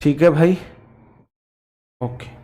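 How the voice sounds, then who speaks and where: Man, Indian accent, higher-pitched voice, slow delivery, grammar teacher, repetitive drilling, informal classroom style. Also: dark tone. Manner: repetitive, drilling, addresses students familiarly as brother. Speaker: English grammar teacher for exam candidates. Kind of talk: informal classroom-style grammar lesson, switching between Hindi and English.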